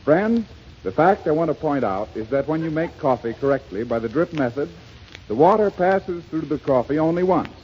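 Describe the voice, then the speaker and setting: An American man working as a radio announcer, delivering a formal, emphatic 1930s sponsor pitch. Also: lively intonation, normal speed. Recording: lo-fi 1930s radio, faint noise.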